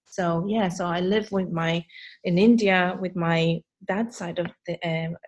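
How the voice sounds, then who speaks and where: A woman's voice: fairly low pitch, steady tone, unhurried. A woman from Sweden whose first language is Hakka, a lash artist speaking as a podcast guest, talking freely with many fillers and non-native grammar. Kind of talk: podcast interview.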